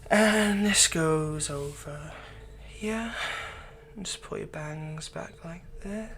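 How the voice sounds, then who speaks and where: A man playing a doting, slyly manipulative villain captor in an intimate ASMR roleplay, speaking gently and coaxingly with unhurried pauses. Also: on the high side, vocal fry, slight background noise, full character voice. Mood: tender, flattering.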